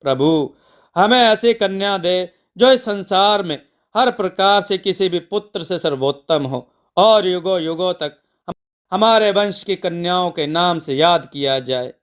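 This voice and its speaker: Man voicing devout royals pleading, slowly. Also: sits high, expressive. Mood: reverent, pleading. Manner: reverent, supplicating. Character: devout childless king and queen, humbly pleading.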